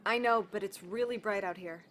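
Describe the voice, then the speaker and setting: A woman playing a warm, candid woman in a naturalistic audio drama, speaking in an open, earnest, conversational way. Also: medium pitch, fairly quick, a little hiss or hum, close to an everyday voice. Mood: brisk, cautious. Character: warm, candid woman caught up in a smuggling scheme.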